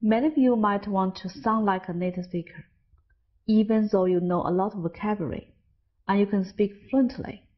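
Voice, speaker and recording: A woman's voice: medium pitch, normal speed, muffled recording. A Chinese woman with a Chinese accent, an English teacher, narrating a scripted lesson and carefully stressing example words. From China.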